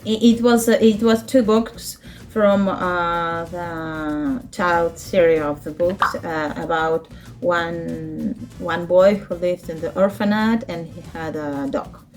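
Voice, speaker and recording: A woman in her thirties from Ukraine, a native speaker of Russian and Ukrainian, talking in a casual interview with a Ukrainian accent, halting and searching for words, laughing often. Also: on the low side, soft background music. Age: 30s. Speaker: interview guest, children's book translator.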